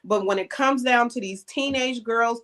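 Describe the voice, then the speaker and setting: A woman's voice: a little high, normal speed, fairly bright tone. A woman guest on a talk show, plugging her event and speaking in a motivational way. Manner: motivational, plugs her platforms. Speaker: summit founder guest. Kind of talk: promotional guest interview.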